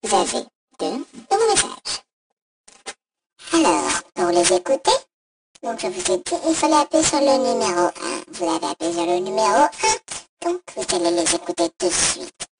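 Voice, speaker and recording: A woman's voice: very high voice, animated, normal speed. A woman playing a cheeky, insulting robot voicemail service in an improvised comic skit, rambling and mock-official through a robot voice effect. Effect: robot voice modulator. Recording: distant, noisy phone app recording.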